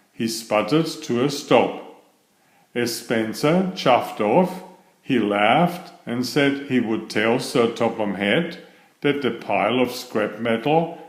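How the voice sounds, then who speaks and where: A man reading a children's bedtime story aloud in a deliberate, expressive storytelling manner. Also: medium pitch. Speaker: storybook reader. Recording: roomy, echoey sound.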